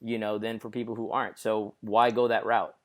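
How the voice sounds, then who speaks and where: A man's voice: fairly low pitch, little pitch movement, a little fast. A man from Jamaica hosting an interview, chatty and thinking aloud as he stacks up several questions. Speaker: interviewer host. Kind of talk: casual interview conversation.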